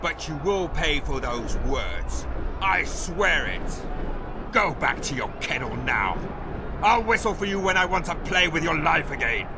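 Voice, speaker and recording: Cruel pit warlord for a game: mocking, commanding, drawn-out delivery, noisy backdrop. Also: male voice, fairly high pitch, sing-song. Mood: cold threat. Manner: commanding, sneering, taunting. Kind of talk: dramatic video game acting.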